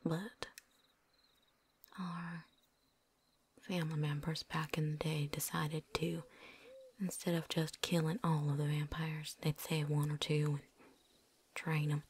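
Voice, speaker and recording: A woman's voice: sits low, flat delivery, slow, long pauses, a little mellow, a little hiss or hum. Woman acting a whispering, tough female vampire hunter. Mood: wry, explaining. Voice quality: breathy, whispery. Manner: folksy drawl with dropped endings, put-on accent. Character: tough, world-weary female vampire hunter, blunt and loyal. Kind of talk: whispered ASMR roleplay.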